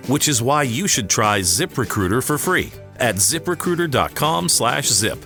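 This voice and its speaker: A man's voice: medium pitch, a bit bright. A man reading a scripted radio advertisement as an announcer. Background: light music.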